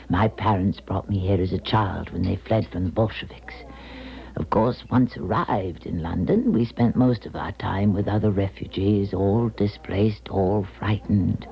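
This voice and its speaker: Very deep-voiced old clairvoyant. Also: female voice, full character voice. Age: older. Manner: theatrical, deliberate, hushed breathy phrase endings. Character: elderly Russian émigré woman, former clairvoyant, earnest and mysterious. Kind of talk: 1970s radio drama acting, confiding monologue. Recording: muffled 1970s radio broadcast recording.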